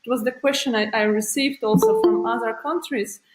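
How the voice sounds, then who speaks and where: A woman's voice: on the high side, fairly quick, soft background music. Woman interviewer, echoey room. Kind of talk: interview questions.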